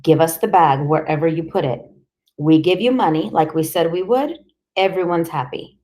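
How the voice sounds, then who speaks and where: A woman's voice: sits low, moderate pace, mellow, close to an everyday voice. A woman voicing a tired, bullying male police interrogator with a blunt, menacing manner.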